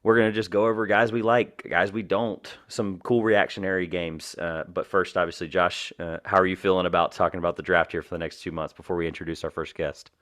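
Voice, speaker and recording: A man's voice: low-pitched, fairly even intonation, brisk, few pauses, fairly bright tone. A man from North Carolina hosting a podcast in a casual, informal and self-deprecating way. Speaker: podcast host.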